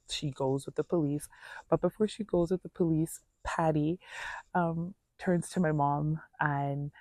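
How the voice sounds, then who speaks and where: A woman's voice: low-pitched, mellow, dry recording. A woman telling a personal story in a casual, earnest, conversational way, voicing reported dialogue as she goes. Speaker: storyteller vlogger.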